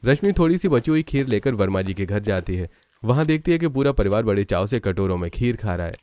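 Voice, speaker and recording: A man's voice: on the low side, big pitch swings, a little fast, dark tone. A man narrating a scripted cartoon family story. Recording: muffled audio, clear background noise.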